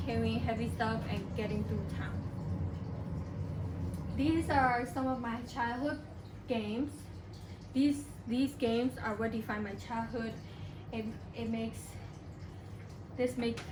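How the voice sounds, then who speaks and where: Brief: young woman from Burma, student giving a class presentation, higher voice, halting delivery, noisy echoing room. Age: young adult. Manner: halting, with frequent pauses and restarts. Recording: echoey room, distant mic, background noise.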